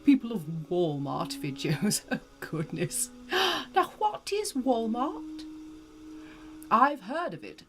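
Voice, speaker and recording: A woman's voice: on the high side, very animated, slow pace, long pauses, a bit bright, slight background noise, voice pushed up, exaggerated voice. Woman playing a posh, swooping old-fashioned Southern belle. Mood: delighted, chatty. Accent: old-fashioned American Southern. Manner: posh, theatrical, drawling, effusive. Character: genteel ageless Southern woman over 150, playfully flirtatious. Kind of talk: in-character live-stream chat and storytelling.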